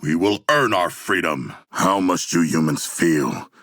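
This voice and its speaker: Big deep rough shark man for a video game, solemn n battle hungry, talks super formal and literal, swings up and down a lot. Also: animated, unhurried, a bit bright, no echo, studio quality, heavily acted. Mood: solemn, matter-of-fact. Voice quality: gravelly, rough. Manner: formal, stilted, grandiose diction with no contractions. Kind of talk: video game character voice lines.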